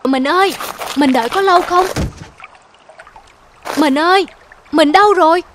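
A woman's voice: very high voice, a little slow, a little hiss or hum, voice pushed up. A woman voicing a humble, devoted young husband in a cartoon fairy tale, earnest and plaintive. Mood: emotional.

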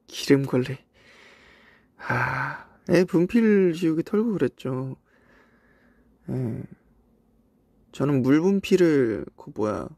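A young Korean man from South Korea with traces of a Cheongju accent, chatting casually on a livestream and reminiscing in a relaxed way with soft asides. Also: mid-range voice, gravelly, husky, no room sound. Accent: Cheongju dialect traces in Korean. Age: young adult. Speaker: idol livestream host. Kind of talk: casual livestream chat reading comments. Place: indoors, voice-only stream.

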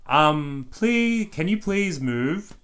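An excitable young girl puppy from a children's storybook, played by a man reading aloud. The voice is lifted high and swings widely, polite and playful, full of sing-song questions and exclamations. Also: very slow, smooth, exaggerated voice. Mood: bright, polite.

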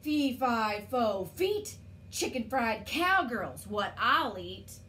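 Woman putting on a loud, mean giant man. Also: fairly high pitch, very animated, takes it slowly, full character voice. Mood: menacing. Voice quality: loud, angry. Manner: chanting, declaiming.

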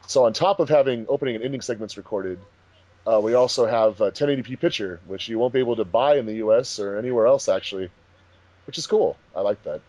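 A man's voice: medium pitch, quick pace, dark tone, no echo, muffled recording. A man casually explaining things with enthusiasm on a commentary track, as one of its makers. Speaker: fandub producer and voice actor.

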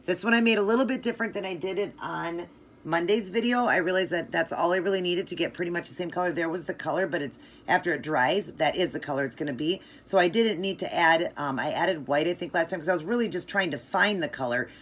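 Deep-voiced woman, middle-aged, chatty nail art tutorial, faint room hiss. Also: fast talker. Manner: chatty, enthusiastic, gushing about colors. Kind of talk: casual step-by-step tutorial narration.

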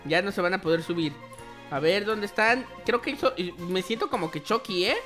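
A man with a bright, forceful voice commentates on a game as its host. He is playful and exclamatory, often repeating words in quick bursts. Music plays behind him. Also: high voice. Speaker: host of a gaming video channel. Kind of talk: excited gameplay roleplay commentary.

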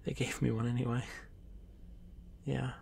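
Male actor as timid young drider for fantasy ASMR: very creaky, soft, halting delivery, lonely and apologetic, audible noise behind. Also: mid-range voice, flat delivery. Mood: hesitant, wistful. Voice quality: gravelly, creaky. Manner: hesitant, stammering, soft-spoken, often dropping to whisper. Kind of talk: ASMR fantasy roleplay addressed to the listener.

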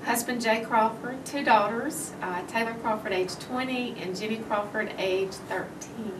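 A woman, a judge giving earnest interview answers with quick restarts and laughing asides. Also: on the high side. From Tennessee. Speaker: juvenile court judge, guest. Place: office with bookshelves, indoors. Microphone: far camera. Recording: roomy, distant, noisy.

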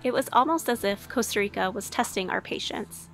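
A woman reading scripted voice-over narration for a sailing vlog in an even, measured way. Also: mid-range voice, faint music behind.

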